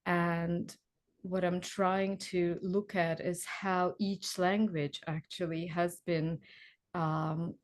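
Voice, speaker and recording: A woman whose first language is Hungarian, interviewing a guest in a thoughtful, unhurried way. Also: on the low side, flat delivery. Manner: unhurried, thoughtful, soft backchannels. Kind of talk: interview questions.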